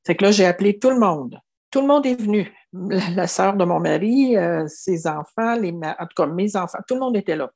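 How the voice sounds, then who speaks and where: A woman's voice: fairly low pitch, darkish tone. A woman in her seventies from Quebec with a Québécois French accent, telling her life story as an interviewee in an earnest way, often restarting and saying "tu sais". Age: 70s. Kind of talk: reflective personal storytelling.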